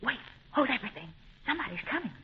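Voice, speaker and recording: A woman's voice: high voice, big pitch swings, racing, slightly rough, exaggerated voice. An actress playing a spirited, alert young girl adventurer in a 1930s radio serial, with an urgent manner. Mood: hushed alertness. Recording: tinny, narrow 1930s radio recording.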